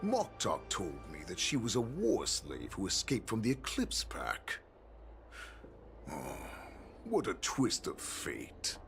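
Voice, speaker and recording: An actor voicing a menacing male wolf-beast warlord in a video game, gravelly, taunting and theatrical. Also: wildly swooping pitch, a bit bright, faint music behind, heavily acted. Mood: mocking, probing. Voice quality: deep, gravelly. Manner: taunting, theatrical, drawn-out delivery. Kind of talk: dramatic video game voice acting.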